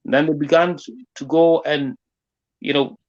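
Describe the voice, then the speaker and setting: A dull, low-fidelity recording of a man with a South Sudanese accent. His voice sits a little high. He hosts a political discussion without a script, explaining at length with frequent filler phrases. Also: fairly even intonation, moderate pace. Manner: discursive, uses "you know" often. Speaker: interviewer, forum host.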